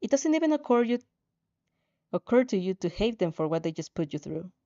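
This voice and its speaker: A woman's voice: medium pitch, big pitch swings, a little fast, dark tone. A woman narrating fan fiction as a dramatic story reading, on slightly dull audio.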